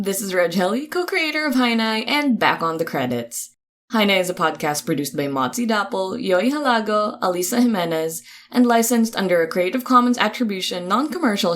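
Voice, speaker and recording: A woman reading podcast credits in a chatty, informal, upbeat announcing style, with expressive delivery. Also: medium pitch, brisk.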